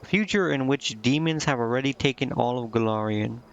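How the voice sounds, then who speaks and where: A man reading out the lines of a quiet, regretful female demon from a fantasy game in a halting manner. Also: medium pitch, muffled recording, a little hiss or hum, close to an everyday voice. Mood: musing.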